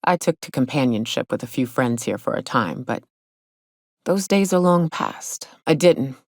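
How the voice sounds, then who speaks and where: A gruff female monster hunter from a video game, pitched low and recorded very dry. She drawls in a folksy, sardonic way, like a hard-drinking trail guide who has seen plenty. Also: lively intonation, normal speed, no echo, heavily acted. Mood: reflective. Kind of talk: video game character dialogue.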